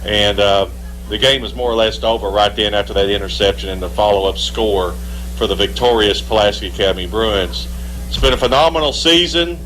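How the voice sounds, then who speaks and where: A man's voice: on the low side, brisk, fairly bright tone. A man giving post-game football commentary as a radio broadcaster. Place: live broadcast at a football stadium. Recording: noisy live sports broadcast with hum.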